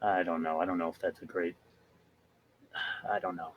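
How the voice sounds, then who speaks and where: A man playing a tabletop role-playing game, talking casually and haltingly as he works things out. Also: low voice, level tone, dull audio, a little hiss or hum. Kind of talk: casual in-character role-play chat.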